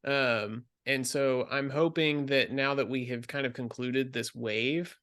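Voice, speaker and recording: A man's voice: medium pitch, fairly even intonation, measured pace, fairly bright tone, no echo, studio quality. A man on a podcast panel talking casually, in a tentative, hesitant way. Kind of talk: casual discussion.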